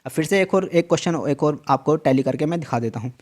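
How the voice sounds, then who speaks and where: A man's voice: fairly high pitch, fast talker, a little mellow. A man explaining an exam answer key in a tutorial, repeating each instruction and checking in often. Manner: repetitive, emphatic instructions with frequent "theek hai" check-ins. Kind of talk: screen-share tutorial walkthrough.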